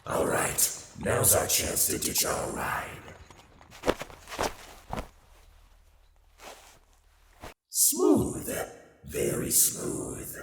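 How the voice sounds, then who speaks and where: A sly demon tempter played by a man speaks in an animated, sardonic and grandiose way for an ASMR roleplay. The voice swoops around and rings with long reverb, over loud background ambience. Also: deep voice, takes it slowly, creaky, background noise, exaggerated voice. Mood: dry, scornful. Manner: theatrical, sardonic, grandiose wording. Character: sly, hedonistic male demon, mocking tempter and mentor.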